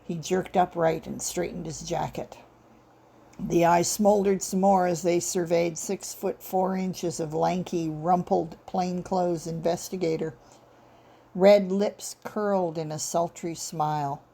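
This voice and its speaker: A woman's voice: fairly low pitch, fairly even intonation, a little slow, creaky, warm tone, slightly rough. A woman author reading her own short fiction as scripted story narration.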